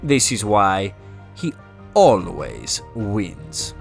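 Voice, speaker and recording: A man's voice: fairly low pitch, very animated, drawn-out words, gravelly, music in the background, pitched below the performer's own voice, full character voice. A man voicing a vain, boastful Italian race car in a children's storybook, with an Italian accent and a pompous manner. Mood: self-satisfied. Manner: pompous, refers to himself in third person.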